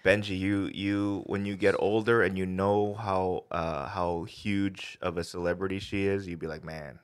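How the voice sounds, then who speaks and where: A man's voice: deep voice, level tone, normal speed, smooth, no room sound. A man co-hosting a podcast as a stand-up comic, chatting casually with slang and swearing. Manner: slangy, profane. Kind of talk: casual chat.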